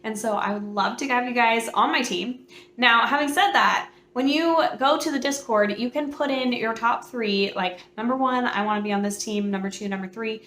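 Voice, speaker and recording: Bubbly woman, a little hoarse. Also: on the high side, moderate pace, fairly bright tone, echoey room. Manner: bubbly, chatty, many fillers. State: hoarse from a cold. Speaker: book channel host. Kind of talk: casual chat to camera.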